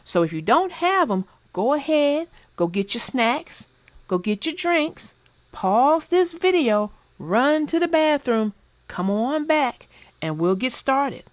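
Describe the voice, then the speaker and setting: A woman reading a children's book aloud in an animated, expressive, sing-song way. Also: high-pitched, slow, no echo. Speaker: storyteller.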